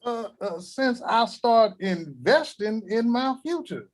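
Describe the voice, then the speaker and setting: An actor playing a crude, cranky old grandfather at a table read, talking gruff and vulgar. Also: very high-pitched, lively intonation, slow, exaggerated voice.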